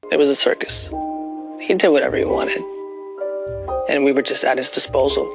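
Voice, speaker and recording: Male interviewee, gravelly fry, measured and halting, clear music bed behind. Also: mid-range voice, fairly even intonation. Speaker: interviewee, former executive assistant. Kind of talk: documentary interview.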